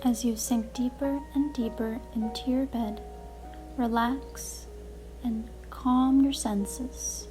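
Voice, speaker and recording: A woman hosting a guided relaxation session, speaking in a calm, gentle, deliberate way with soothing narration. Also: on the high side. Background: music playing behind the voice.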